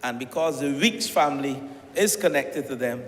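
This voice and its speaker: A man with a Barbadian accent giving a deliberate parliamentary speech, stressing each site he names. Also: mid-range voice, lively intonation, fairly bright tone. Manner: deliberate address with rising emphasis on listed sites. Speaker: member of parliament. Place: parliament chamber. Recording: echoey chamber with long reverb.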